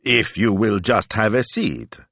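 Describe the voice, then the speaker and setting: A man voicing an excitable, anxious shopkeeper with a flustered, urgent, over-polite way of talking in an audiobook. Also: fairly low pitch, unhurried, gravelly, no echo, pitched above the performer's own voice, full character voice. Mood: nervously hearty. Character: excitable, anxious, fussy male Italian shop owner.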